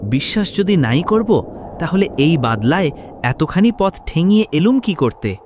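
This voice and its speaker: Man as higher-voiced, eager visiting friend. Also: sing-song, unhurried, a little mellow, a little hiss or hum. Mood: mildly indignant. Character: eager, mildly indignant male visiting friend, Kishori.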